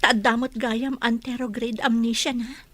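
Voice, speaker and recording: An actress playing an aggrieved grown daughter in an Ilocano radio drama. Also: female voice, a little high, level tone, measured pace, a bit bright. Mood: hurt, resigned. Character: aggrieved grown daughter confiding in her mother.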